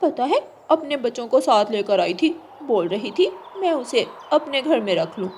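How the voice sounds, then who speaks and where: Woman playing a haughty, animated female crow. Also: fairly high pitch, moderate pace, a little hiss or hum. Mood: mocking. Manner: scornful, snappish. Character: haughty, cold-hearted rich female crow wife. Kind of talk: Hindi cartoon moral story voice acting.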